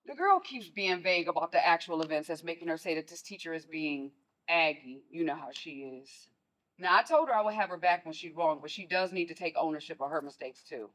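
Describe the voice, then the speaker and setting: A woman's voice: deep voice, expressive, fairly quick, poor audio. A woman playing a stern, protective mother in a stage play, scolding and pressing with pointed questions. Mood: worried, annoyed. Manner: forceful, scolding, rhetorical questions.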